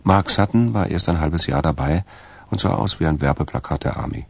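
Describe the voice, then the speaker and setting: A man narrating a crime radio play in a measured, wry, literary way. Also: rumbling low, creaky, slightly rough, dry recording, full character voice. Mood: dry, wry. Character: dry male narrator of a crime story.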